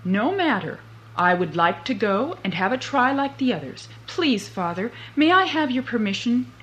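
A woman voicing a clever, cheeky young farm boy who talks brightly and slyly. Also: fairly high pitch, big pitch swings, moderate pace, a little hiss or hum, pitched above the performer's own voice, poor audio. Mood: pleading.

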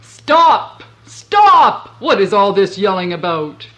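A woman voicing a greedy, pompous old king who barks orders in a loud, haughty voice. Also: lowish voice, expressive, unhurried. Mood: imperious.

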